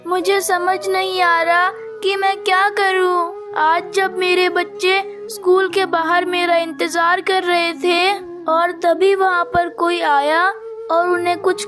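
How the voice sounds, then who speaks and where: A woman voicing small, earnest, careful birds in a children's cartoon moral story. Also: very high voice. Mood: frightened. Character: small birds: anxious mother and her cautious chicks.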